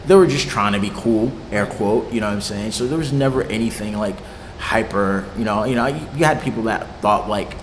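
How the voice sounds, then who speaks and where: A man's voice: lowish voice, a little fast, hardly any pauses. Laid-back Haitian-born man in an echoey room. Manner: loose, swearing, laughs easily, lots of fillers. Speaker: interviewed music producer. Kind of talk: casual interview chat. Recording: echoey room with long reverb and clear background noise.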